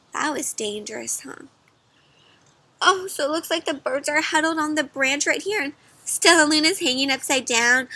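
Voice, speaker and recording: Bright, high-voiced woman reading to children. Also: creaky, no room sound. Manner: expressive, prompting listeners with questions. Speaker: read-aloud storyteller for children. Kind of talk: interactive picture-book read-aloud.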